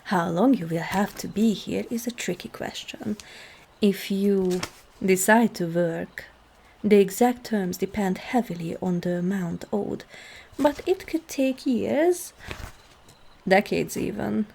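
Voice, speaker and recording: A woman playing a seductive, regal demon queen in an ASMR roleplay, calm and commanding, with slow, formal speech that often drops into breathy whispers. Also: medium pitch, slight background noise. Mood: persuasive, regal. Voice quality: breathy, husky.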